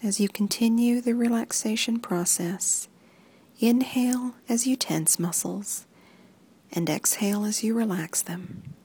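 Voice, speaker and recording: A woman reading a guided muscle relaxation script in soft, even instructions that often sink into a whisper. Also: a little high, unhurried, breathy edge.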